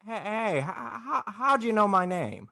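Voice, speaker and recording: A man's voice: high-pitched, very animated, a little slow, vocal fry, pushed and bright, no room sound, clean studio sound, heavily acted. A man playing a despairing, wry, bewildered family man with a stammering, folksy manner, in 1940s radio drama style. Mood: bewildered, agitated. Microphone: video call.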